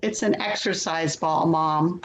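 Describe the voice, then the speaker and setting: A woman's voice: low voice, measured pace. A woman in a play reading, playing an impatient, defensive grown daughter who sounds exasperated and dismissive. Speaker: actor in a readers theater play. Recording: roomy echo, slight background noise.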